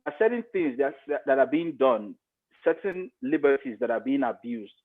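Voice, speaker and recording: Middle-aged Nigerian male interviewee, mid-pitched, emphatic, repeats himself for weight, narrow telephone-style audio. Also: sing-song, moderate pace. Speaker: interview guest, media expert. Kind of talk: conversational interview commentary. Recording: phone-like thin radio-style sound.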